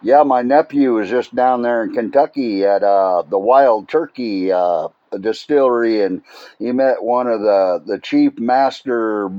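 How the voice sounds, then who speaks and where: A man's voice: mid-range voice, a little slow. A man chatting casually over two-way radio in a laid-back way, often calling the listener "man". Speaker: amateur radio operator. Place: radio transmission. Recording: muffled, echoey two-way radio transmission.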